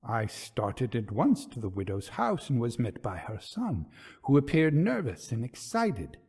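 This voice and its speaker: A man voicing a grave colonel who recounts a ghost story in a formal, deliberate, suspenseful way. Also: medium pitch, huge pitch swings, darkish tone, husky, exaggerated voice. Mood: grave, uneasy. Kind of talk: dramatic reading of an old ghost story.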